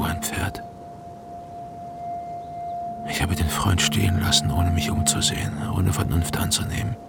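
An actor in a German radio play playing an older man mourning his friend, anguished, halting and full of remorse. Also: low voice, gravelly, heavily acted. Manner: anguished, halting, breaking into whispers and wailing.

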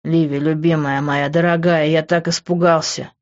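A man's voice: fairly high pitch, level tone, moderate pace, highs cut off, natural voice. Man acting a passionate hot-headed young lover. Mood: tender, relieved. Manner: emotional, exclaiming, coarse.

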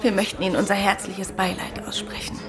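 An actress playing a refined, gentle, courteous wife in a radio crime drama. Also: female voice, mid-range voice, level tone, brisk, music in the background, lightly acted. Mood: polite. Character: refined, caring wife of a detective.

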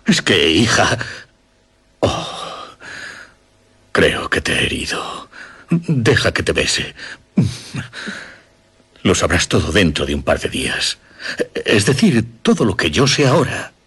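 An actor playing a worried, protective middle-aged father in a radio drama, with a husky voice, Castilian Spanish accent and halting delivery. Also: low voice, big pitch swings, fairly bright tone, heavily acted. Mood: tender, remorseful. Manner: halting, soothing but evasive.

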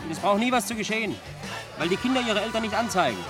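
An actor playing a condescending, pedantic young know-it-all who lectures in a German radio play. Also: male voice, high voice, lively intonation, fast talker. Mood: lecturing. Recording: music behind the voice.

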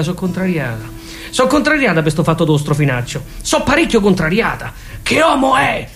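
A man's voice: extremely high pitch, fairly bright tone, full character voice. A man playing an aggrieved, obsessive Roman housewife in a theatrical comic monologue, repeating things fixatedly in a Roman accent. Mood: aggrieved, complaining, then indignant. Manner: repetitive, fixated, swinging in emphasis.

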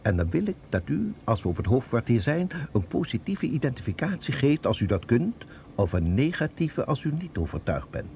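Formal, commanding colonel with lively intonation. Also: male voice, mid-range voice, slight background noise. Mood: commanding, wary. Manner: formal, authoritative, measured. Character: colonel, suspicious, commanding security chief. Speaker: actor in a radio play. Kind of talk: Dutch radio drama acting.

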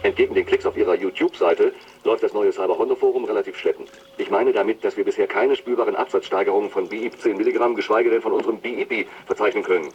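A man's voice: lowish voice, fast, a little mellow, close to an everyday voice. An actor playing a brusque, pompous male company boss in a radio drama, officious and commanding. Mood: officious, demanding. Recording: dull lo-fi sound with faint noise.

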